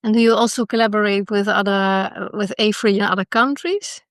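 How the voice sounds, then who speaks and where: A woman's voice: mid-range voice, steady tone, a little fast, no room sound, studio quality. A woman hosting a podcast interview, friendly and encouraging, with many short agreements. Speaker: podcast host.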